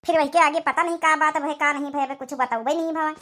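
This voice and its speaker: A woman's voice: high voice, steady tone, a little fast, fairly bright tone, faint music behind. A woman with an Awadhi accent voicing an ageing, impatient village mother who nags plainly in a cartoon story. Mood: explaining. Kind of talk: amateur cartoon story dialogue.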